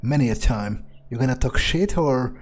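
A man's voice: mid-range voice, lively intonation, normal speed. A man playing a video game in character, speaking his lines and thoughts aloud in a blunt, sweary way. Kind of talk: in-character game roleplay commentary. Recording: faint music behind.

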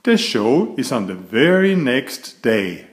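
A man reading a picture book aloud to young children in an expressive, deliberate storytelling manner. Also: mid-range voice. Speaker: picture-book reader and author of children's stories.

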